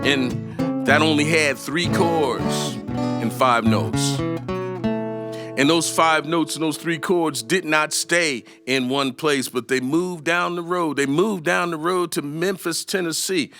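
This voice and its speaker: A man telling a story as a performer, in a folksy way with dramatic pauses and conversational asides, on the history of music. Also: fairly high pitch.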